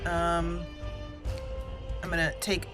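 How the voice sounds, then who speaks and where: A young woman hosting a let's-play, chatting excitedly through a battle and roleplaying dialogue. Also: on the low side, slow, over music. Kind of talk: casual game commentary and roleplay.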